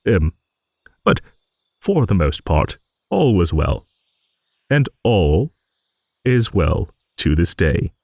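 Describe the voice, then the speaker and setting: A man's voice: sits low, big pitch swings, slow, vocal fry, pauses often, darkish tone, dry recording, studio quality, exaggerated voice. A man voicing an ancient, good-natured old dragon who rambles and muses in a fantasy audio roleplay. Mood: musing, fond. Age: older. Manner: rambling, musing, with hums and chuckles.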